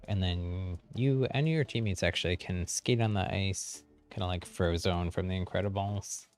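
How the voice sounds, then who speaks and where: A man's voice: low voice, moderate pace, darkish tone. A man streaming a game, giving casual, easygoing explanatory commentary to viewers. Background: game music.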